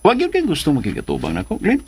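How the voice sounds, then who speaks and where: Swooping, flustered Filipino suitor. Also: male voice, on the high side, huge pitch swings, quick pace, a little mellow, full character voice. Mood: pleading, dejected. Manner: hesitant, stammering, nervous throat-clearing and chuckles. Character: bashful, pleading middle-aged suitor, persistent and easily flustered. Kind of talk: radio drama acting, melodramatic.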